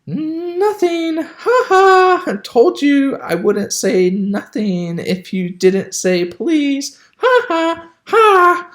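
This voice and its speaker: A woman's voice: on the high side, sing-song, very slow, a little mellow, heavily acted. A woman voicing a mischievous male poltergeist who taunts in a cackling, sing-song way. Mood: gleeful taunting. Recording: roomy echo.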